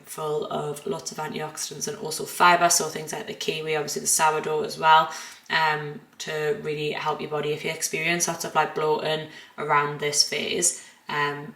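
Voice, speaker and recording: A young northern English woman with a low, bright, forward voice and a slight croak. She chats casually to camera in an echoey home kitchen, full of fillers and quick corrections. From northern England. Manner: chatty, filler-heavy, self-correcting. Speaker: food and lifestyle vlogger.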